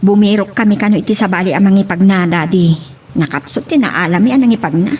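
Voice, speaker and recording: A woman's voice: mid-range voice, fast, mellow, lightly acted. A woman playing a caring, hardworking mother in an amateur Ilocano family drama. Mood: earnest. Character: caring hardworking mother seeking work abroad. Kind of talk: Ilocano radio-style family drama acting. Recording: distant mic, background noise.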